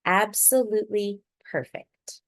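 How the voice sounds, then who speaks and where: A woman voicing a proud, doting father in a storybook, emphatic and deliberate. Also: mid-range voice, dry recording, close to an everyday voice. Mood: adoring.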